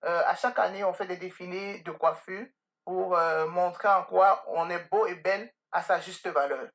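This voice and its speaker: A woman's voice: lowish voice, fairly even intonation, moderate pace. A middle-aged woman speaking as an interview guest, earnest and emphatic, telling anecdotes and addressing listeners directly. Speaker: podcast guest, beauty salon owner. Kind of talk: conversational interview answers with anecdotes.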